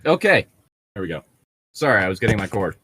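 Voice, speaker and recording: A man's voice: lowish voice, big pitch swings, fast, pushed and bright, slight background noise. A man chatting casually and jokingly with friends, prone to breaking into laughter. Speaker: co-host reading a book aloud with friends. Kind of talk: casual joking group chat.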